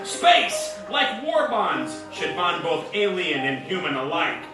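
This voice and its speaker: A man's voice: a little high, big pitch swings, slow pace. A man playing a bombastic, lecherous space captain in hammy, declamatory old-time radio serial style. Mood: grandiose proclaiming. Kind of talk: parody of old-time radio adventure acting. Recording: echoey, distant, music behind.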